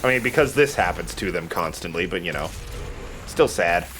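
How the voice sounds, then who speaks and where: A man's voice: deep voice, expressive, normal speed, a bit bright, a little hiss or hum. A man giving casual, joking commentary as he plays and hosts a let's play. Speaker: let's play host and player. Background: video game music and combat sounds.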